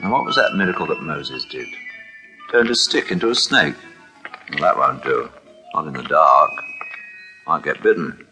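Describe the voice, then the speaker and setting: A man acts out a timid, puzzled clerk from an old radio play, talking hesitantly to himself. His low voice swoops widely as he puzzles things out, with faint music in the recording. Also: expressive, moderate pace, pauses often, poor audio. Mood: pondering. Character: timid, bewildered male clerk testing his miraculous powers. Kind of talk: vintage radio drama acting.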